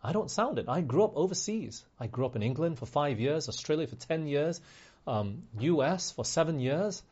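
A man's voice: fairly high pitch, animated, moderate pace, darkish tone, husky, muffled recording. A man preaching a sermon, earnest and conversational, often speaking straight to his listeners. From Malaysia. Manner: earnest, conversational, with asides to the congregation.

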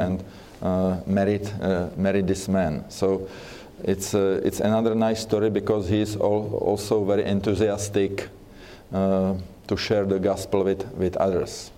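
A man with a Czech accent giving a church seminar talk, speaking haltingly with many fillers and restarts. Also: sits low, warm tone, slight background noise. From Czech Republic.

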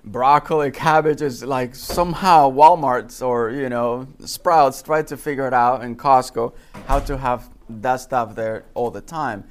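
Halting man. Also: mid-range voice. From Dominican Republic. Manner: halting, repeats words and phrases often. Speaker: gardening class instructor. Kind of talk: informal lecture with personal anecdotes.

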